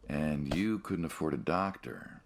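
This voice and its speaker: An actor playing an aging American mob man in a video game, folksy and casual but quietly menacing, probing an old friend. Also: rumbling low, expressive, measured pace, full character voice. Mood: friendly, nostalgic. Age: older. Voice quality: gravelly, husky. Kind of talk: naturalistic video game dialogue.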